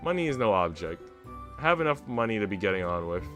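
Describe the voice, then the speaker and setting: A man's voice: fairly low pitch, big pitch swings, quick pace, a bit bright, soft background music. A man voicing a wealthy, kindly elderly noblewoman in a visual novel, with a genteel, reassuring manner. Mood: wistful, gracious. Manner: genteel, reassuring, passionate about the arts. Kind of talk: visual novel dialogue read aloud.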